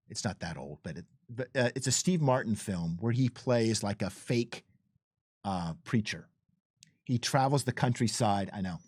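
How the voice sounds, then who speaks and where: A man with a mid-pitched voice in a close, dry sound talks casually, like a developer in an unscripted design chat. He is emphatic and often doubles back to restart or repeat a phrase. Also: darkish tone, no echo. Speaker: game developer on a commentary stream. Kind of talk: casual unscripted conversation about game design.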